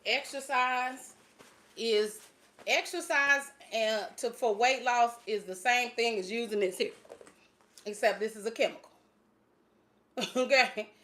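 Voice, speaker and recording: A woman's voice: fairly high pitch, takes it slowly, pauses often, bright, forceful tone. A woman in her late forties hosting a casual livestream, chatting loosely with frequent fillers and laughs. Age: 40s. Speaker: fitness channel host.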